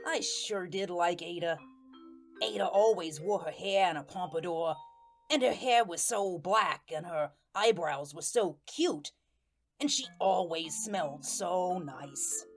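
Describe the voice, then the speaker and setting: A woman's voice: lowish voice, animated, unhurried, soft background music. A woman playing a wistful, lovesick little male ghost who narrates a radio drama in folksy, rambling slang. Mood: wistful, musing.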